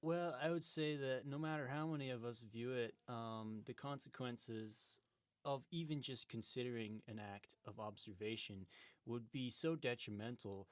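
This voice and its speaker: A man's voice: medium pitch, moderate pace, no room sound. A man improvising mock-serious, rambling pseudo-scientific banter.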